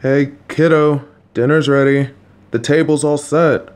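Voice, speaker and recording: A man voicing a kind, worried, clueless father who talks to his child in a gentle, patient, anxious, rambling way. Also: on the high side, fairly even intonation, measured pace, lightly acted. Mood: warm. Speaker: voice actor. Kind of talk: audio roleplay for a listener.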